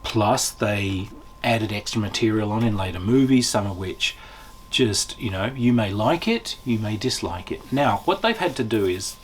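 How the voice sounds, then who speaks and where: A man reviewing a tabletop game in a casual, unscripted way, animated and chatty as he explains. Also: lowish voice, normal speed, slight background noise.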